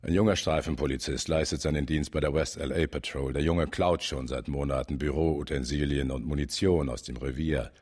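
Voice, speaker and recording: Very deep-voiced, husky police chief. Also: male voice, fairly even intonation, a little mellow. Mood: sardonic, confiding. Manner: measured, authoritative, blunt. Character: shrewd older male police chief, calculating and commanding. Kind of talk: German radio drama acting.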